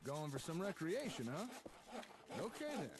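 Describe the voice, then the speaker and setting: An actor playing an easygoing wasteland settlement builder, talking in a casual, folksy way as video game dialogue. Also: male voice, a little high, very animated, moderate pace. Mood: easygoing, practical.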